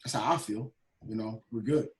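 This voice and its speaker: A man taking part in a group discussion, talking in a relaxed, conversational way. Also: fairly low pitch, normal speed. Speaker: group participant.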